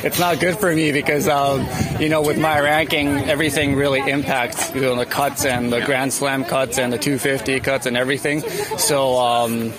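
A man's voice: medium pitch, flat delivery, normal speed, few pauses. A man in his thirties being interviewed, answering thoughtfully and searching for words. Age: 30s. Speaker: interviewed athlete. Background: loud crowd.

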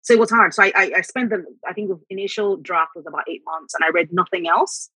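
A middle-aged woman from Nigeria whose first language is Yoruba, telling reflective stories about her writing and repeating words when she gets excited. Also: lowish voice, quick pace, husky, no room sound, low-quality recording. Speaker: author guest. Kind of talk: conversational interview.

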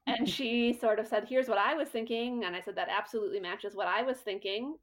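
A woman's voice: a little high, fairly quick. A middle-aged woman, a costume designer, telling a story in casual interview talk and acting out her own quoted thoughts.

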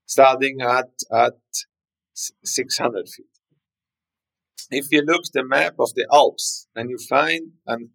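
A man with a South Tyrolean German accent speaks English as a second language, his voice gravelly and sitting at a middle pitch. He hesitates and repeats words while he explains things in a podcast interview. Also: fairly bright tone, poor audio. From South Tyrol, Italy. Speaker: winery guest.

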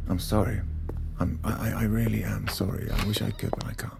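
A conflicted, weary man in an audio drama. His voice is gravelly and creaky, his delivery earnest and halting, over faint noise and ambience. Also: on the low side, level tone. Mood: regretful. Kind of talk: naturalistic audio drama acting.

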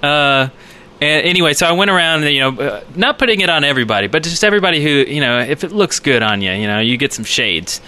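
A man's voice: fairly high pitch, animated, fast talker, sharp tone, clean tone. A man co-hosting a podcast, chatting casually about games in a rambling, enthusiastic way. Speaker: podcast co-host. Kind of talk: casual gaming chat.

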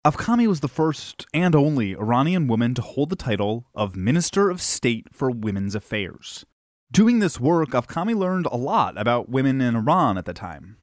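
A man's voice: mid-range voice, sing-song, moderate pace, no room sound, studio quality. A man hosting and narrating a scripted history documentary. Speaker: podcast host and narrator.

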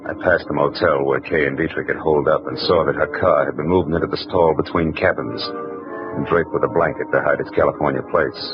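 Low hard-boiled detective narrator, wry; hissy, muffled vintage radio. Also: male voice, steady tone, fairly quick, music in the background. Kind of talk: 1940s radio acting. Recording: hissy, dull old radio broadcast.

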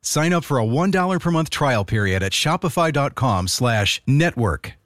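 A man's voice: medium pitch, sing-song, normal speed, fairly bright tone, no echo, clean studio sound. A man reading a conversational scripted radio commercial. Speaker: advertisement announcer.

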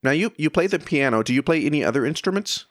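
A man's voice: a little high, expressive, fast, no room sound, clean studio sound. A man hosting an interview show, putting questions to a guest in a conversational style.

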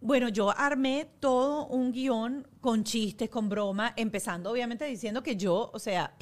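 A middle-aged woman with a Venezuelan accent and a slightly high voice chats as a guest. She tells stories in an animated, self-mocking way, acting out the lines she quotes. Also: a little slow. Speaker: guest, TV presenter and actress. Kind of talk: casual chat, storytelling.

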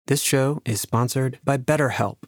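A man hosting a podcast talks through a sponsor message in a personal, conversational way. His voice is medium in pitch, recorded dry with no room around it. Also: normal speed, dry recording. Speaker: podcast creator and host reading sponsor.

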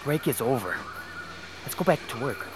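An actor playing a blunt, worried miner arguing as a son in an audio drama, colloquial and exasperated. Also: male voice, medium pitch, sing-song, moderate pace, background noise, lightly acted.

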